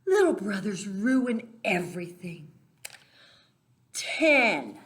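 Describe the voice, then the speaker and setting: A woman reading a picture book aloud to young children as a storyteller, expressive and deliberate, stressing key words and speaking directly to her listeners. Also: medium pitch. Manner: talks directly to young listeners, asking simple questions. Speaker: children's storyteller for a church Sunday school.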